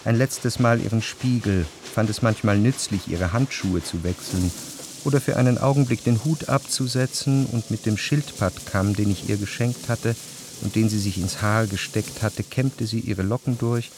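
A man narrating a literary radio drama as a reflective gentleman recalling his past, in a measured, articulate way. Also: fairly low pitch, background noise, natural voice. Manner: measured, articulate reading of long sentences.

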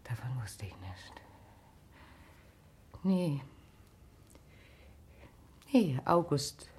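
Weary middle-aged country wife, low voice, very slow and halting, bitter yet proud, radio drama. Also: female voice, very animated, a little mellow, slightly rough, exaggerated voice. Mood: shaken, weary. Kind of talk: naturalistic German radio drama acting.

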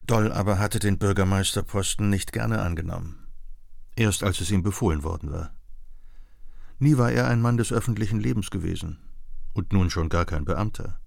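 Male narrator, reading a novel, low, gravelly, dry sound, measured. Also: darkish tone.

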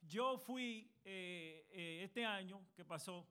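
A man, a pastor and lawyer, giving a church panel talk, earnest and halting with many fillers, addressing his listeners as brothers. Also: high-pitched, sharp tone, clean tone. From United States.

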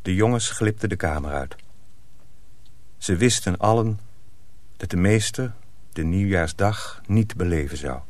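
Low-voiced male storyteller, close and dry. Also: animated, a little slow, pauses often, no echo. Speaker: narrator. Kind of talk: dramatic scripted storytelling.

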